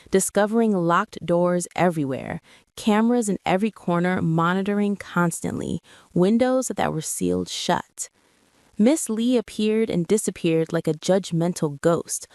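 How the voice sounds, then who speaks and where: A woman's voice: fairly low pitch, a little mellow, dry recording, clean studio sound. A woman narrator reading a scripted story in a dramatic storytelling style.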